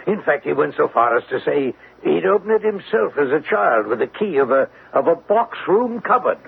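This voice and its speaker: A man's voice: a little high, very animated, moderate pace, gravelly, slightly rough, exaggerated voice. An actor playing a stern, anguished middle-aged father with formal, theatrical delivery in an old radio drama. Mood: troubled. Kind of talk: vintage radio drama acting. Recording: tinny, hissy old radio recording.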